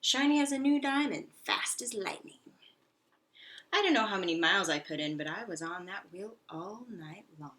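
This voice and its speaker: A woman's voice: mid-range voice, lively intonation, normal speed, fairly bright tone, close to an everyday voice. A woman voicing a self-satisfied little male pet hamster from a children's storybook, in a boastful, theatrical, exclamatory way. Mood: proud, excited. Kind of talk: children's storybook read-aloud.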